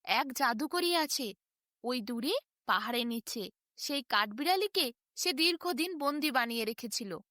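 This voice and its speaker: Woman voicing a timid, high-pitched little squirrel. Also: lively intonation, a little slow, bright, forceful tone, dry recording, clean studio sound, heavily acted. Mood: sorrowful. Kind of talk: storybook animal character voice.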